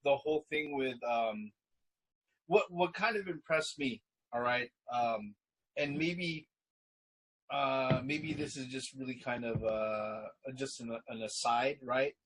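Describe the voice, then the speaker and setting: A man's voice: mid-range voice, takes it slowly, a bit bright, husky, dry recording, poor audio. A middle-aged man reviewing a movie in casual chat, rambling and searching for his words. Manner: rambling, full of ums and restarts.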